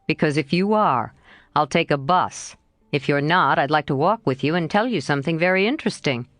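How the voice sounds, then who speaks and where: A cheerful young man, as a woman narrator puts him on, teases and coaxes in an easy, slightly lowered voice. His lines still rise and fall with lots of movement. The sound is close and dry, as in a storybook reading. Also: animated, a little fast, fairly bright tone, no echo. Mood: coaxing. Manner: lightly lowered, coaxing, playful.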